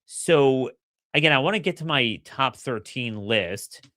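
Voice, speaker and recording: A middle-aged man hosting a solo political commentary show, slightly hoarse, energetic and opinionated, often repeating words as he starts a sentence. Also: medium pitch, measured pace, no echo, clean studio sound. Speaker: podcast host.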